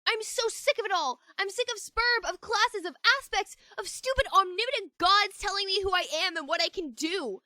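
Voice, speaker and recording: Fed-up young female lead, voiced by an actress, audio drama: very high, piercing, anguished and emphatic, dry close sound. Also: moderate pace, hardly any pauses, pushed and bright, no room sound. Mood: furious, anguished. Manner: emphatic, foul-mouthed. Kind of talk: fan fiction audio drama acting.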